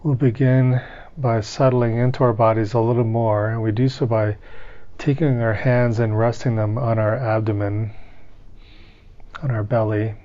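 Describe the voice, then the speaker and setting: A man leading a guided meditation in a calm, gentle, unhurried manner, with long pauses between instructions. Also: medium pitch, lo-fi sound.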